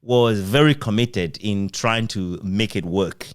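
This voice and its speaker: A Ghanaian man leads a casual conversation about shared memories. His voice is fairly low and resonant, recorded close and dry. He talks expressively and chuckles between phrases. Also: takes it slowly, clear, resonant tone, no echo. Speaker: interviewer.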